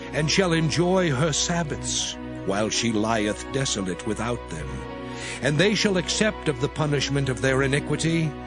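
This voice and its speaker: A man voicing the Lord as a stern, majestic deity in a dramatized scripture reading, proclaiming slowly and solemnly. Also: medium pitch, lightly acted. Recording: music bed underneath. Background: background music.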